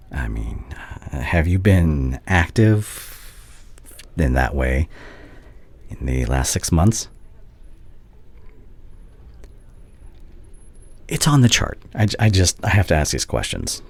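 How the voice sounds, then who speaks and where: A man playing a devoted, regretful doctor and anxious ex-boyfriend in an intimate ASMR audio roleplay, speaking haltingly and tenderly. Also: rumbling low, gravelly, a little mellow, exaggerated voice. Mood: awkward, probing.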